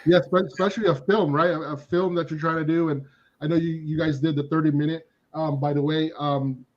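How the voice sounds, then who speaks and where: A man co-hosting a casual stream chat, halting and full of fillers, on dull lo-fi audio. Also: on the high side, fairly even intonation. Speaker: co-host.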